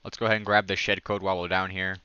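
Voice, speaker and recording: A man's voice: deep voice, little pitch movement, racing, bright, forceful tone, clear, resonant tone, dry recording, highs cut off. A man giving a casual, enthusiastic walkthrough of a game update, like a gaming video creator.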